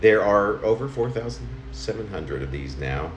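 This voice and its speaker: A middle-aged or older man reading his own letter-like poem aloud in a halting, conversational way, correcting himself as he goes. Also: fairly low pitch, animated. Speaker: poet reading own work. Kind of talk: spoken poem reading in the form of a personal letter. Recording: roomy home recording, faint noise.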